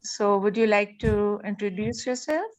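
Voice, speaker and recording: A woman's voice: mid-range voice, steady tone, a little hiss or hum. Polite woman hosting an online meeting. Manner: polite, hesitant, halting. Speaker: meeting host. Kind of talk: online meeting moderation, introducing participants. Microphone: video call.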